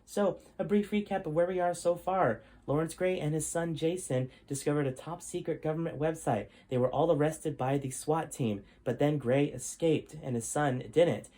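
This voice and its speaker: A man's voice: fairly high pitch, moderate pace, few pauses, dark tone. A man hosting a storytime, narrating his own book as its author.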